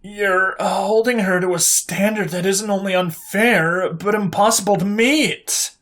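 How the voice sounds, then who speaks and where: A woman's voice: mid-range voice, unhurried, fairly bright tone, voice pushed up, close to an everyday voice. A storyteller voicing a young woman private who is earnest and slightly hesitant but stands her ground. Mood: defiant.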